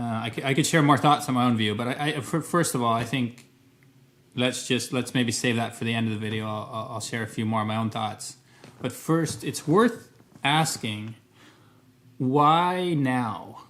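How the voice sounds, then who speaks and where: A man's voice: medium pitch, fairly bright tone. Thoughtful Canadian man vlogging. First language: English. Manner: thoughtful, hedging, frequent restarts. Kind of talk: casual talk to camera. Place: Montreal.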